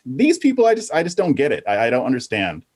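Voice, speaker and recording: A man's voice: medium pitch, huge pitch swings, quick pace, husky. A man giving casual opinions as a panelist, dismissive and joking, often stumbling into restarts.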